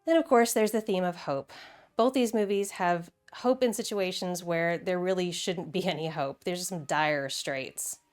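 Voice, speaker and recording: A woman's voice: on the low side, normal speed. A woman hosting a video essay in a casual, conversational and enthusiastic way, with wry asides.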